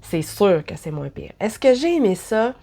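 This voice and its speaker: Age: adult. Sex: woman. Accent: Québécois French. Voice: congested from a cold, mellow timbre. Style: chatty book vlog. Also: mid-range voice, very animated, gravelly. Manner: chatty, self-deprecating, quick asides. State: has a head cold, stuffy voice. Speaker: book vlogger. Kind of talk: casual book review vlog.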